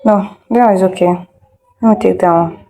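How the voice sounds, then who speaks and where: A woman giving a casual online editing tutorial, thinking aloud and muttering haltingly as she works. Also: medium pitch, fairly even intonation, mellow. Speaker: instructor of an online video editing class.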